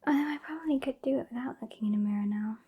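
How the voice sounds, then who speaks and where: A British woman playing a sassy, bossy centuries-old female vampire in an ASMR roleplay, soft and whispery, with a haughty, teasing, dry delivery. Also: a little high, very fast, slightly rough, lo-fi sound. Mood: dry, dismissive.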